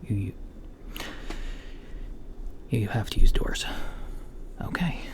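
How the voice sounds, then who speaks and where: Man playing a slow, soft-spoken alien doctor. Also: fairly low pitch, exaggerated voice. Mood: puzzled, deadpan. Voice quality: soft, breathy, often whispered. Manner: hesitant, gently deadpan, murmuring. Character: mild, puzzled alien doctor doing a routine checkup. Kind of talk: soft-spoken ASMR roleplay. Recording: lo-fi home recording, faint background noise.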